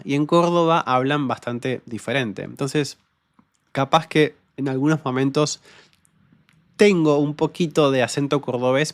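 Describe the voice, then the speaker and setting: A young man from Patagonia with a neutral Rioplatense Argentine accent and a uvular R that sounds French. He hosts a podcast, reading listener comments in a relaxed, hesitant way full of fillers. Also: medium pitch, no echo, clean studio sound. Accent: neutral Rioplatense Argentine Spanish, uvular French-like R. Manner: relaxed and hesitant, many fillers, speaks slowly for learners. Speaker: podcast host and Spanish teacher.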